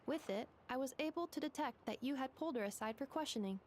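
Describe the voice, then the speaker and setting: A woman's voice: high voice, quick pace, darkish tone, slight background noise, natural voice. A woman voicing a polite, analytical robot assistant in a video game, with formal, literal, machine-like phrasing. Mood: calm, analytical.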